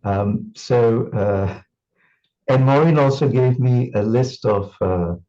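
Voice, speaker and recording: A man's voice: mid-range voice, slow pace, dark tone, muffled recording. A man from Singapore reminiscing in an interview, thoughtful and full of restarts and fillers. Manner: thoughtful, repeats words, many fillers.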